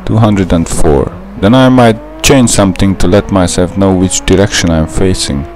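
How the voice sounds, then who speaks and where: Young Hungarian-accented man, low voice, for a methodical game tutorial voice-over with faint engine noise underneath. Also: moderate pace, darkish tone. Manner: careful, methodical explaining. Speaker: gaming tutorial narrator. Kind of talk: scripted gameplay voice-over.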